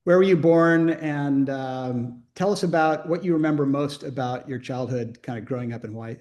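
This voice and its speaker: A man's voice: mid-range voice, normal speed, darkish tone. Man interviewer asking friendly questions. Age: 50s.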